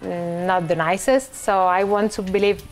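A woman's voice: medium pitch, fairly even intonation, measured pace. A woman from Italy with an Italian accent, an actress joking lightly in an interview. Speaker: actor. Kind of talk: press interview.

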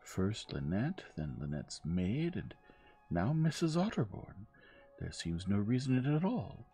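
A man voicing an evasive, affable middle-aged gentleman suspect in an audiobook, with a blustering, folksy manner. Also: lowish voice, big pitch swings, unhurried, husky, faint music behind, voice pushed up, heavily acted. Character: smooth, evasive middle-aged gentleman protesting his innocence.

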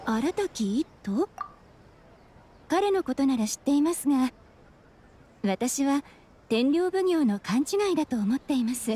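A woman's voice: high-pitched, very animated, a little slow, long pauses, dark tone, a little hiss or hum. A woman playing a polite young kimono shopkeeper in an anime game, speaking in a frank, matter-of-fact way.

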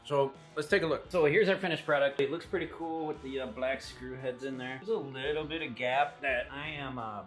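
A man's voice: mid-range voice, a little fast, hardly any pauses, a bit bright. A man in his forties with an ordinary mid-range voice talks through a hands-on project like a buddy would. His delivery is laid-back and colloquial, in the manner of a casual how-to vlog. Some guitar music plays along with him. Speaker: host of a DIY review vlog. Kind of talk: casual how-to chat to camera. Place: indoors at home: garage, bar room and bedroom.

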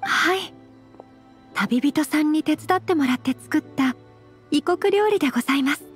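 A woman playing a refined, gentle young noblewoman in an anime game, speaking formally, courteously and earnestly. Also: high voice, expressive, normal speed, faint music behind. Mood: polite, gentle. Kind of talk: anime game character acting.

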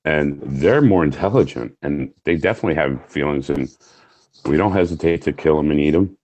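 A man's voice: extremely low pitch, fairly quick, darkish tone, rough recording. A middle-aged man from New York State, a guest on a video call through earphones, candid and jokey. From Orange County, New York. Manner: jokey, candid, laughs easily. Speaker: guest, firefighter. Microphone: earphone headset on video call.

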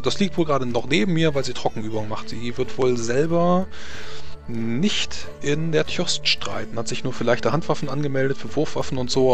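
A man as game master, narrating a tabletop role-playing game in a halting, improvised way. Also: mid-range voice, vocal fry. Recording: background music.

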